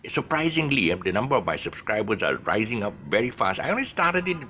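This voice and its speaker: A man's voice: on the low side, sing-song, quick pace, sharp tone, slight background noise. An older man with a Malaysian English accent, giving a rambling, chatty pronunciation lesson full of restarts. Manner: chatty, digressive, many restarts. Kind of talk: rambling casual lesson monologue.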